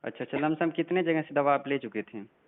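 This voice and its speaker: A man's voice: a little high, brisk. A man in a phone consultation, asking questions, repeating answers back and reassuring the caller as a practitioner. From Uttar Pradesh, India. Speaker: Ayurvedic practitioner interviewing a patient. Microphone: telephone. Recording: narrowband phone call recording.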